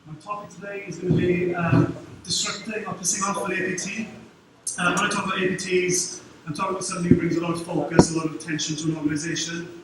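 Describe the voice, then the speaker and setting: A middle-aged man from Wales with a Welsh accent, giving a quick, informal conference talk with dry humour from a lectern. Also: high voice, flat delivery, very noisy. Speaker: conference speaker. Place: large dark conference hall. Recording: very echoey hall, distant mic.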